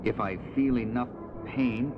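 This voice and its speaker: An actor playing a stoic superhero in a 1970s audio drama, with a clipped, deliberate delivery. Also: male voice, medium pitch, steady tone, heavy background noise, lightly acted. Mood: strained, determined. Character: stoic male superhero, society playboy in disguise. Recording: dull 1970s record, music behind.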